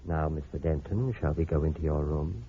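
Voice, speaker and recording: An actor playing a smooth, manipulative doctor in a 1940s radio drama, with a theatrical, coaxing delivery. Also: male voice, very deep voice, normal speed, full character voice. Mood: falsely soothing. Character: smooth, scheming, manipulative male doctor, secretly menacing. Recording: muffled, noisy vintage radio recording.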